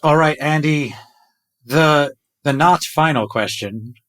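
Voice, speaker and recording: A man's voice: on the high side, takes it slowly, fairly bright tone. A man hosting a podcast, asking long, thoughtful questions in a casual chat. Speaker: podcast host.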